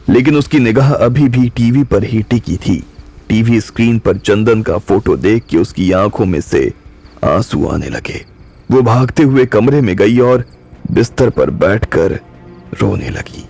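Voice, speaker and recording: Slow, gravelly male narrator. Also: fairly low pitch. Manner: calm, measured storytelling. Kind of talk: slow scripted audiobook narration. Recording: soft music bed.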